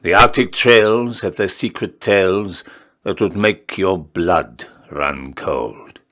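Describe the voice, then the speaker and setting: A man recites a narrative poem with a theatrical storyteller's delivery. His voice sits fairly low. He moves very slowly, measuring each phrase and leaving deliberate pauses. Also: a bit bright. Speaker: poetry reader, town crier. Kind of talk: dramatic recitation of a narrative ballad.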